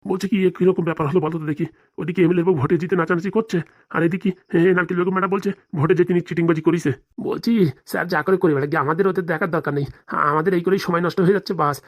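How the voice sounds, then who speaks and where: A man's voice: high-pitched, steady tone, rapid-fire, no room sound, studio quality. A man voicing timid, fretful police officers in a comedy cartoon, hesitant, stammering and deferential. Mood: worried, fretful. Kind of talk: comic cartoon dialogue.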